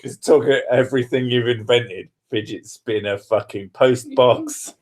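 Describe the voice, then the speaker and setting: A man's voice: medium pitch, moderate pace, darkish tone. A man chatting casually with his partner, joking and swearing a lot and laughing often. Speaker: streamer.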